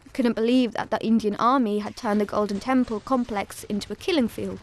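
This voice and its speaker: High-voiced woman narrating solemnly. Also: fairly even intonation, slight background noise. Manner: solemn, measured storytelling. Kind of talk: scripted first-person dramatic narration.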